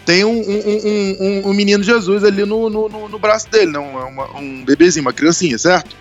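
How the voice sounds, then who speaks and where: A man co-hosting a casual chat show, talking in a heated, excitable way with frequent swearing and laughter. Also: high voice, fairly quick, lo-fi sound, faint music behind. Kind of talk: casual banter about football.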